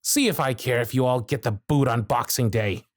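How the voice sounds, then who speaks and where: A man's voice: medium pitch, normal speed, no room sound, clean studio sound, close to an everyday voice. A man voicing a harried, grumpy male elf supervisor in an audio roleplay, sarcastic and scolding. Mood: pleading. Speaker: workshop supervisor.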